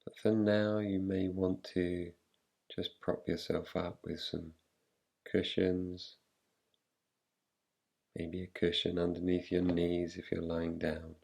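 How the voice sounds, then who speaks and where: A man guiding a relaxation meditation in a calm, unhurried, soothing way, leaving long pauses between phrases. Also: deep voice, gravelly. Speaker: meditation guide. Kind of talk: guided relaxation, yoga nidra meditation.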